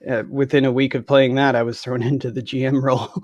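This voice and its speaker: A man's voice: mid-range voice, level tone, fast talker, darkish tone, dry recording, highs cut off. A middle-aged man, chatting casually as a guest. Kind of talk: casual chat. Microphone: headset on a video call.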